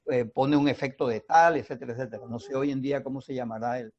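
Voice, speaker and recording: A man's voice: mid-range voice, steady tone, fast, darkish tone. An older man talks like a seasoned radio hand. He stresses points by repeating them, in a casual panel setting with slightly dull sound. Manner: emphatic, repeats key phrases. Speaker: veteran radio drama practitioner, panelist.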